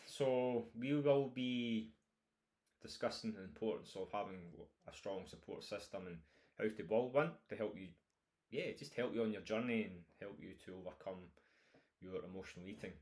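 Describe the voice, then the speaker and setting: A man's voice: lowish voice, moderate pace, a little mellow. A Scottish man, a nutrition coach, talking informally through a recorded course introduction with many fillers and restarts.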